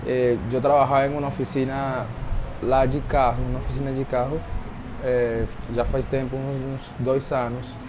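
A man's voice: medium pitch, flat delivery, moderate pace. Young Venezuelan man, traffic behind. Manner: plain, mixing in Portuguese words. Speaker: interviewee. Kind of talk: documentary interview. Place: indoor workshop.

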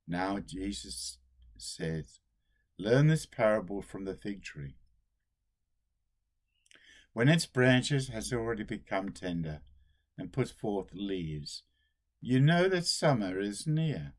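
An older man, a priest, giving a solemn sermon at a slow, deliberate pace, recorded on a webcam in a small room at home. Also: fairly low pitch, big pitch swings.

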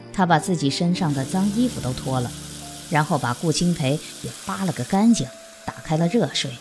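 Lively low-pitched woman narrator over music. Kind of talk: scripted audio drama narration. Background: background music.

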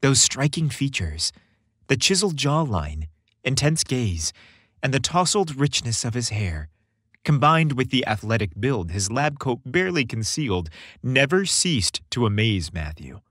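A man's voice: mid-range voice, big pitch swings, unhurried, fairly bright tone, no echo. A man hosting a story podcast, reading scripted narration with a playful, theatrical delivery, close on the microphone.

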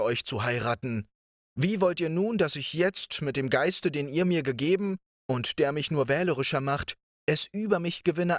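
A man voicing a clever young princess who argues politely with a raised, lively voice. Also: no room sound, studio quality. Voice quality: polite, reasoning, raised pitch.

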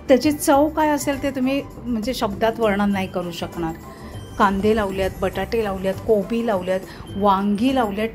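A woman's voice: on the high side, a little mellow. A woman narrating a casual vlog tour of a farm, eagerly pointing things out to viewers. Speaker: travel vlogger. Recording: music playing underneath.

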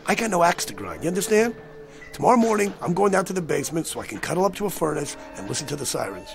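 An American actor playing an agitated, cynical man who insists he has seen disaster coming, speaking in a blunt, slangy, pleading way with a gravelly voice. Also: a little high, big pitch swings, fast talker, over music. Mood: urgent, grave. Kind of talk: dramatic screen acting.

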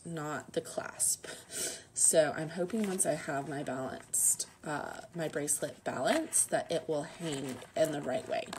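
A young woman vlogging at home, talking in a low voice with a lot of vocal fry. She rambles casually with plenty of fillers, sometimes dropping into soft half-whispers. Steady noise sits under the recording. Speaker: lifestyle vlogger. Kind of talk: casual unboxing chat to camera. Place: home room, indoors.

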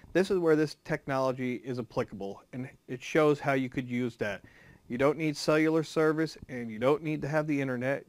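A man's voice: medium pitch, no echo. A man hosting an instructional video, explaining things in a tutorial narration style. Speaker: host of amateur radio tutorial channel.

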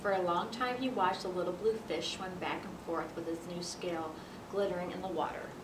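A woman's voice: steady tone, brisk. American woman storyteller, roomy distant sound. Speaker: children's library storyteller. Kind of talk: reading a picture book aloud to children. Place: library children's area, indoors. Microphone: camera a few feet away. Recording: roomy, distant mic with noisy rumble.

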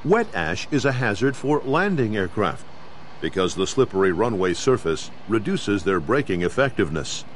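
A man with an American accent gives measured, formal, instructional narration in the style of a scripted training video. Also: fairly low pitch, sing-song. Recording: 1990s videotape with clear background noise.

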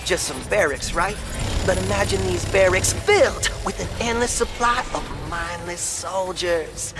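An actor voicing a brash, vain pop-star villain in a video game, with smug, boastful sales patter. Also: male voice, sits high, a little slow. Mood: smug pitching. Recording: loud music and game noise behind.

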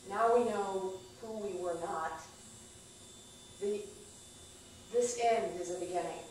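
A woman's voice: mid-range voice, a little mellow. A woman performing a dramatic, emphatic spoken-word monologue on a small stage. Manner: deliberate, emphatic repetition. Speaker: performer at a live stage reading. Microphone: distant camera microphone. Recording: echoey theater, far-off camera, faint noise.

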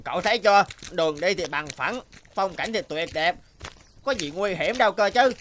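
Lively, carefree cartoon pot. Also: male voice, high voice, normal speed, slight background noise. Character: carefree, clumsy, reassuring talking iron pot. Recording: loud music under the voice.

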